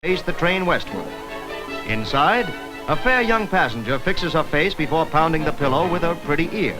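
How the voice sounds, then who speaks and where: A man's voice: on the high side, animated, normal speed, over loud music. A man narrating a script in 1930s newsreel style, on an old film soundtrack.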